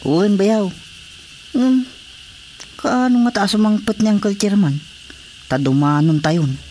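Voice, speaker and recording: A woman's voice: mid-range voice, measured pace, dark tone, a little hiss or hum, natural voice. A woman playing a hapless, complaining comic in-law in a radio drama, with an Ilocano Filipino accent. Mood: whiny. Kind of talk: radio drama comedy acting.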